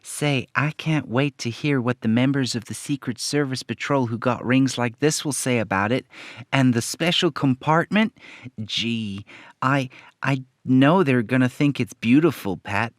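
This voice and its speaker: A man's voice: medium pitch, unhurried, dry recording, studio quality, close to an everyday voice. A man voicing a naive, eager young boy in an old radio serial style, earnest and a little hesitant. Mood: excited, proud.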